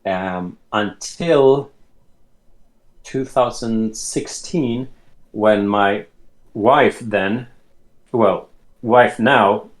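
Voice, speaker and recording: A man in his late thirties from Sweden, telling his life story as an interview guest in an unhurried way, often restarting his sentences. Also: on the low side. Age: late 30s. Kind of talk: casual conversation.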